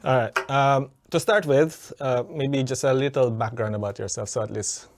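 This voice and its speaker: A man hosting an interview, asking questions in an informal, halting way with fillers. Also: medium pitch, fairly even intonation. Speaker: podcast host, interviewer.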